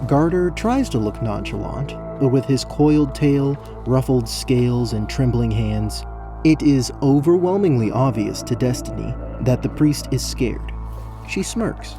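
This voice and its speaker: A man's voice: medium pitch, animated, measured pace, music in the background. A man narrating a scripted fantasy story as the narrator of an audio drama.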